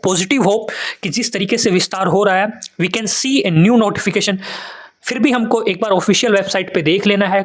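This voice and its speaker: A man's voice: high-pitched, fairly bright tone. A man with an Indian accent giving earnest, instructive advice to students as an exam coach, often repeating points in lists for emphasis. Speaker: exam preparation coach and channel host. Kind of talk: advice talk to students, mixing Hindi with English.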